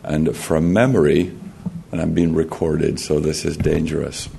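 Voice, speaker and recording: A man with a Jamaican accent giving a formal talk at a conference podium, measured and halting, often clearing his throat and restarting. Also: extremely low pitch, flat delivery, dark tone, slight background noise. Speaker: conference speaker. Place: conference hall.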